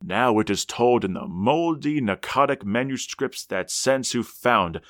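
An actor playing a grave storyteller, narrating a gothic horror radio drama with solemn, theatrical, measured phrasing. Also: male voice, mid-range voice, lively intonation, a bit bright, no room sound, clean studio sound. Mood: solemn, ominous. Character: grave storyteller of an ancient dread legend.

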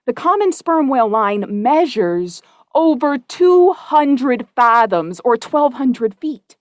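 Higher-pitched seafaring storyteller. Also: female voice, sing-song, slow pace, dry recording, studio quality, heavily acted. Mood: lecturing, wry. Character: grave, wry seafaring narrator of a whaling tale. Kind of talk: dramatic scripted narration.

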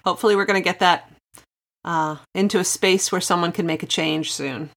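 A woman's voice: on the low side, steady tone, brisk. A woman hosting a conversational podcast interview in an encouraging, affirming way. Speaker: podcast host.

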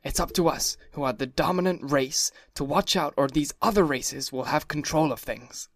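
A man voicing a wealthy young husband, cross and brutish, with a gruff, insistent, pompous manner. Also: a little high, normal speed, no room sound, studio quality, voice pushed up. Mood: blustering.

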